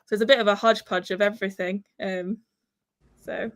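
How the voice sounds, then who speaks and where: A woman's voice: medium pitch, steady tone, a little fast, fairly bright tone, dry recording. A woman from Wales with an accent mixing Welsh, northern English and Northern Irish, chatting casually and reading viewer comments on a livestream, with plenty of fillers and laughs. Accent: mixed Welsh, northern English and Northern Irish. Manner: chatty, giggly, lots of fillers. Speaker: livestream co-host.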